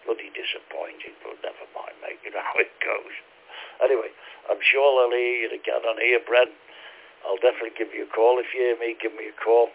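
A man's voice: medium pitch, flat delivery, brisk, a little hiss or hum. A man, losing his voice from a bad chest, chatting over amateur radio. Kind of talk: casual radio chat. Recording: radio transmission, phone-like narrow band.

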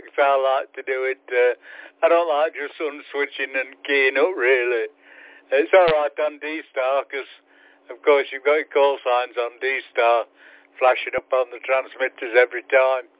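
A man's voice: medium pitch, no room sound. A man chatting casually as a ham radio operator, easygoing and jokey about his gear. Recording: dry tinny radio.

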